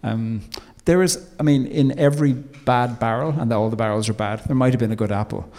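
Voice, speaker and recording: A man's voice: mid-range voice, a little mellow, long reverb tail. Reflective Irish man. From Ireland. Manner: thoughtful, emphatic, slows to stress key words. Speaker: guest. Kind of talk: reflective interview talk.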